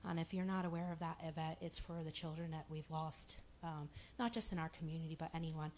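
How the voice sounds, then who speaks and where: A woman with a raspy voice addressing a city commission meeting in a chatty way, with many fillers and restarts. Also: low voice, fairly even intonation, brisk, a little mellow, a little hiss or hum.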